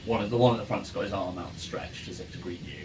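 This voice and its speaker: A man acting as game master, improvising tabletop narration in a halting, hesitant way, recorded in a noisy room. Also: low-pitched, echoey room, crowd noise. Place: in-person game room with ambient sound. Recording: noisy room, distant microphone.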